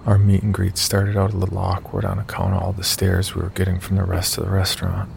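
A man with a low, creaky voice narrates a scripted horror story in the first person. His storytelling is measured, with clear night insect noise behind him. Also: completely flat delivery, darkish tone.

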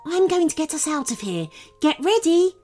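Tiny male phoenix played by a woman, squeaky, high-pitched, eager, excitable, animated storybook voice. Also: normal speed, faint music behind. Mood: confident. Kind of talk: children's storybook character voice.

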